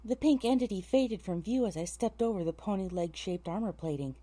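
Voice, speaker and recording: A woman's voice: mid-range voice, brisk, warm tone. A woman narrating a scripted story, breaking off to correct herself in exasperated asides. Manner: self-correcting, muttering exasperated asides between takes. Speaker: narrator recording an audiobook.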